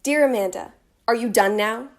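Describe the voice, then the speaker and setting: Woman as teenage girl in a one-act drama, regretful and wry, gravelly fry, roomy echo. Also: on the high side, normal speed, slight background noise, close to an everyday voice. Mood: bitter, sarcastic. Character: dead teenage girl, depressed, wry and regretful, writing letters. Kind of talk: one-act play letter monologue. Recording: roomy echoing sound.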